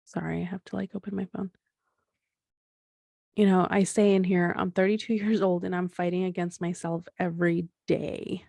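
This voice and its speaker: A woman's voice: fairly low pitch, flat delivery, normal speed, creaky, dark tone, dry recording. A woman in her thirties hosting a solo podcast, talking things through in a rambling, reflective way with frequent restarts and fillers. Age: 30s. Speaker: podcast host.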